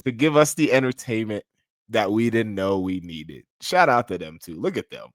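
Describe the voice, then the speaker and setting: A man's voice: lowish voice, sing-song, normal speed, soft background music. A man with a congested nose giving casual, slangy reaction commentary as host of a video.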